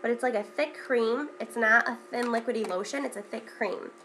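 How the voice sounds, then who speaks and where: A woman's voice: a little high, moderate pace, a bit bright, slight background noise. A young woman with a stuffy, congested voice, chatting casually as a beauty vlogger, with lots of ums and repeated words. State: congested from a cold. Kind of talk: casual makeup tutorial chat.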